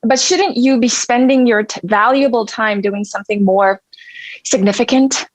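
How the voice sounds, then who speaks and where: A woman's voice: a little high, normal speed, no echo, lightly acted, poor audio. An actor, a woman, playing a sultry, sardonic bartender with a pointed, impatient manner at a table read. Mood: exasperated.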